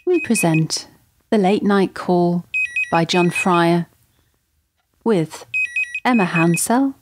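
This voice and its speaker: A woman's voice: fairly low pitch, lively intonation, slow. A woman announcing a radio play in a scripted introduction, over noisy sound.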